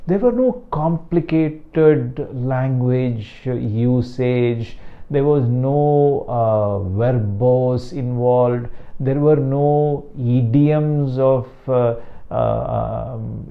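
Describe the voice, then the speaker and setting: Older south indian guy, tamil accent, slow and reflective, stressing each word. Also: medium pitch, vocal fry, phone-quality sound. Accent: Indian English (Tamil). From Chennai, South India. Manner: slow, deliberate, emphatic on key words. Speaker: meditation teacher. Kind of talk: reflective talk to camera.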